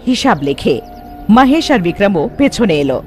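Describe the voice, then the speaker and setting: A man's voice: high voice, very animated, normal speed. A man reading scripted narration for a horror story cartoon. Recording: long hall reverb over music.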